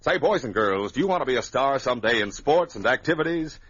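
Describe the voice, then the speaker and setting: A man announcing an old-time radio serial and its sponsor pitches in a dramatic, punchy, hearty way. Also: lively intonation, quick pace, fairly bright tone, husky. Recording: dull lo-fi vintage radio recording.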